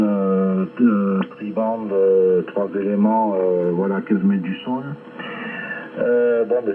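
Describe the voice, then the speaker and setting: Low-voiced man over band-limited ham radio. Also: narrow, tinny audio. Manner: hesitant, rambling with long filled pauses. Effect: shortwave ham radio transmission. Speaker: amateur radio operator. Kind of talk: casual ham radio contact chat.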